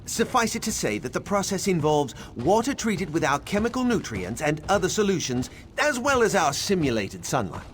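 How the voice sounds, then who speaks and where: Man as a lively, formal fantasy garden scholar. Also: high voice, normal speed, hardly any pauses, a little hiss or hum. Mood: patient, explanatory. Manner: explanatory, formal. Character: evasive, learned male garden worker in fantasy world. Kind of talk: video game character dialogue.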